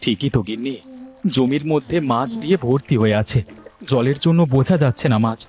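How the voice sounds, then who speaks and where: A man's voice: mid-range voice, fairly quick, dull audio, soft background music, close to an everyday voice. A man voicing an earnest younger village brother in a Bangla cartoon, with a plain-spoken delivery.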